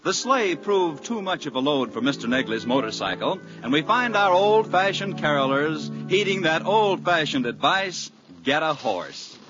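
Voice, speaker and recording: A man's voice: fairly high pitch, very animated, normal speed, music in the background. A man working as a radio announcer, reading commercials and narration in a vintage mid-century style. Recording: muffled old radio broadcast.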